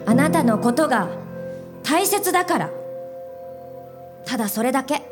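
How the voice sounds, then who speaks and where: A woman's voice: high-pitched, animated, a little slow, music in the background. A woman acting a fiery, protective senior businesswoman in a live radio drama, with an emphatic, commanding delivery. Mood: earnest, tender.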